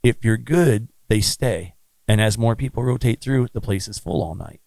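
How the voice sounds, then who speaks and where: A man with a low, raspy voice, chatting bluntly as a musician guest on a podcast. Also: normal speed, a little mellow, dry recording. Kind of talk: casual podcast interview.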